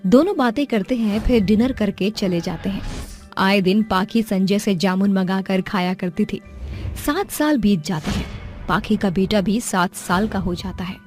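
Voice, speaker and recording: A woman's voice: medium pitch, moderate pace, a little mellow. A woman reading a scripted moral story as its narrator. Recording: music playing behind the voice. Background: background music.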